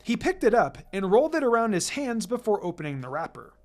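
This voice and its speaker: A narrator reading a fan fiction story aloud in an expressive, scripted storytelling style. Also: medium pitch, fast. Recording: music behind the voice. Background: background music.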